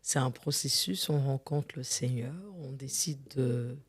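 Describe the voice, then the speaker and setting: A middle-aged woman from Congo, a gospel singer, giving an earnest and measured testimony as an interview guest, sometimes repeating words as she searches for them. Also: rumbling low, level tone, husky. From Democratic Republic of the Congo.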